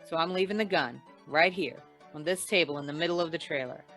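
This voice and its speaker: A woman's voice: low-pitched, a little fast, fairly bright tone, soft background music. A woman playing a hard-boiled, wisecracking insurance investigator in an old-time radio noir, with a dry, clipped delivery. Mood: commanding, wary.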